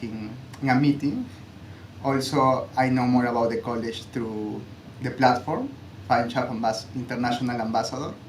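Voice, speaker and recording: A man from Peru, a student ambassador answering panel questions in halting English that he often corrects. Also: medium pitch, a little hiss or hum. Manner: halting, self-correcting non-native English. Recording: echoey livestream audio.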